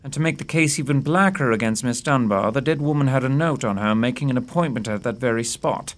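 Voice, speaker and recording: A man's voice: mid-range voice, a little fast, natural voice. A man playing a self-assured master detective in an audio drama, reasoning in precise, formal phrases. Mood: analytical. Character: brisk, self-assured male master detective, analytical and urbane. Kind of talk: radio-play acting.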